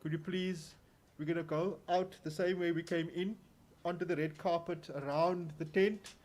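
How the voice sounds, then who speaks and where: A man with a South African accent acting as master of ceremonies, giving relaxed, halting instructions inside an event tent. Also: fairly high pitch. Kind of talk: event announcements.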